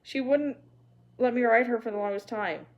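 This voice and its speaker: A woman's voice: fairly high pitch, moderate pace, lightly acted. A woman voicing an earnest, stubborn young girl, eager and pleading, in a story read aloud.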